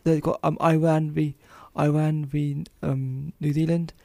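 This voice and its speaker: Halting man with a low-key, mellow creaky voice. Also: flat delivery, no echo. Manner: halting, repeating words as he searches. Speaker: radio show contributor. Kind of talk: casual sports chat.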